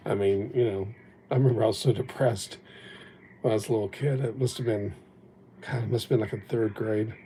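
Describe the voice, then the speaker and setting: A man whose first language was Japanese rambles through a reflective livestream chat, now and then poking fun at himself. His low voice is mellow and gravelly. A faint hiss sits under the sound of an old phone mic. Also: fairly even intonation, moderate pace, pauses often, low-quality recording. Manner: rambling, reflective, self-deprecating. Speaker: livestream host.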